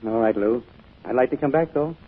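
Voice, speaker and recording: An actor playing an earnest, caring church minister who speaks in a pleading manner, in an old-time radio drama. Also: male voice, mid-range voice, fairly quick, breathy edge, natural voice. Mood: gentle, pleading. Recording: muffled old radio recording.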